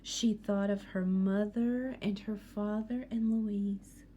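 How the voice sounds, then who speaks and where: A woman's voice: medium pitch, fairly even intonation, slow pace, warm tone, a little hiss or hum. A woman teacher reading a picture book aloud to students, expressively, with asides and questions for young listeners.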